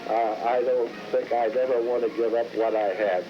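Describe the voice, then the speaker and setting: A man's voice: mid-range voice, steady tone, moderate pace. An older man telling stories of leading a company band, quoting his old conversations in a plainspoken way. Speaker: former bandleader. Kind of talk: archival interview reminiscence. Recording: dull archival radio recording with music.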